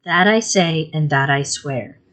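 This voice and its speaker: A woman's voice: deep voice, unhurried, a bit bright. A woman reading aloud and voicing a stiff, blunt, middle-aged female servant who speaks emphatically. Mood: stubborn.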